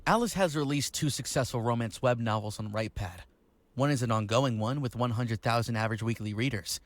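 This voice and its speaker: A man's voice: medium pitch, brisk, no room sound, lightly acted. An actor playing an earnest, friendly young male startup founder, explaining supportively in a visual novel game. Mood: earnest, proud.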